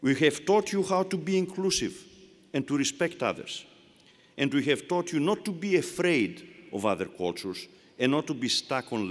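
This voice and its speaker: A man with a Greek accent giving a formal commencement speech, delivering measured phrases one at a time from a podium. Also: mid-range voice. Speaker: university leader giving commencement address. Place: large hall. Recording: long reverb of a large hall.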